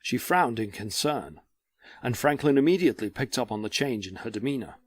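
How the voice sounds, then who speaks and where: A man narrating a dramatic story from a script in a measured, descriptive way. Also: mid-range voice, animated.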